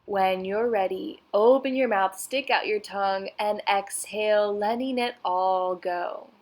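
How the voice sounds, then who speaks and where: Slow-paced woman guiding a meditation. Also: mid-range voice. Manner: soothing, unhurried, instructional. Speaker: meditation guide. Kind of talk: guided meditation narration.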